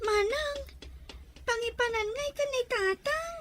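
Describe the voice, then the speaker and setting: A woman's voice: very high voice, a little slow, a bit bright. A woman playing an anxious young schoolgirl in a radio drama, with a plaintive, hesitant way of talking. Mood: puzzled. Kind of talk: Ilocano radio drama acting.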